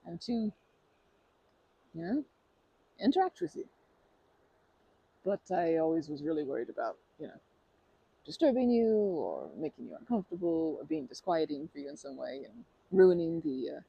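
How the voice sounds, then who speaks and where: A woman's voice: lowish voice, slightly rough, no echo, poor audio. A woman in an ASMR roleplay who talks to the listener in a rambling, bashful and playful way, full of fillers and restarts. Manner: playful and earnest, laughing softly.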